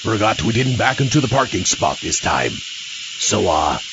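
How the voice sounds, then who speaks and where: Bumbling hero voiced by a man, low and gravelly, muffled with faint hiss. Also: big pitch swings, a little slow. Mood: nonchalant. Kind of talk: comedic audio drama. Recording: muffled, faint hissy background noise.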